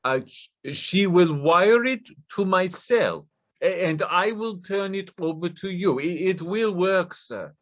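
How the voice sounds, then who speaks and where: An actor playing a desperate, nervous Russian scammer with a Russian accent, stammering deferentially in broken English in a play reading. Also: male voice, sits high, animated, slow. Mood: pleading, placating.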